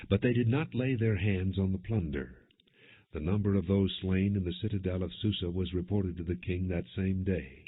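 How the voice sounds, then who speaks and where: Deep husky guy doing measured bible audiobook narration, sounds narrow and lo-fi like a phone. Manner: measured, formal reading. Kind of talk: scripted scripture narration, non-dramatized.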